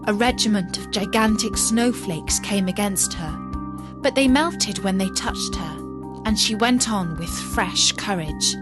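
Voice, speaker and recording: A woman reading a fairy tale as a storyteller, with measured, expressive storybook narration. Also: mid-range voice, over music.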